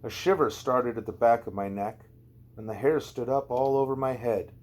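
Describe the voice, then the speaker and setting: A man's voice: mid-range voice, moderate pace, darkish tone. A man narrating a first-person novel as an amateur audiobook reader, in a conversational, wry storytelling way.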